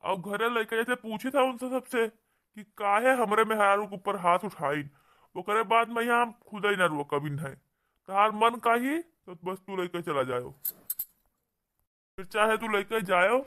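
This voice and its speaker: A man's voice: sits high, a little slow, bright, forceful tone. A man with an Awadhi accent playing an aggrieved village husband in a comedy cartoon, earnest, complaining and pleading. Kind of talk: amateur comedy cartoon dialogue.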